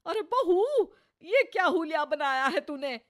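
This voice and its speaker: A woman's voice: extremely high pitch, big pitch swings, measured pace, pitched above the performer's own voice, heavily acted. A woman voicing a scolding, sarcastic mother-in-law in her sixties for an acted moral story. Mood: exasperated. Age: 60s. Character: scolding, nagging sixty-year-old Indian mother-in-law.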